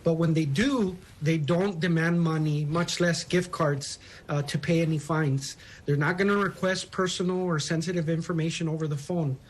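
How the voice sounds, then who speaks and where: A man's voice: fairly high pitch, fairly even intonation, moderate pace. A man speaking as a public information officer, explaining things as a guest in a news interview. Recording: phone interview.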